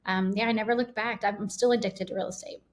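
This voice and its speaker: A woman, a podcast guest telling her story in a casual, animated way. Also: medium pitch, quick pace. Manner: animated storytelling with quoted asides. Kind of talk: casual interview chat.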